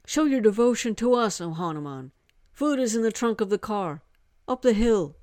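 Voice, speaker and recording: A woman's voice: on the high side, animated, moderate pace, a little mellow, dry recording, studio quality, voice pushed up, close to an everyday voice. A woman voicing an earnest, devout young man of twenty who speaks insistently. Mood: commanding.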